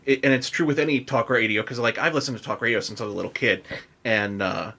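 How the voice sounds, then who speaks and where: A man's voice: mid-range voice, quick pace, creaky, bright, forceful tone. An American man hosting a podcast, chatting casually in a loose, rambling, informal way. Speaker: podcast host. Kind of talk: casual interview chat.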